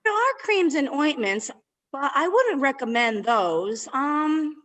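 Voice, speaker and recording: A woman's voice: high-pitched, big pitch swings, takes it slowly, fairly bright tone, exaggerated voice. A woman playing a sympathetic lady who gives advice, in an amateur sitcom script reading.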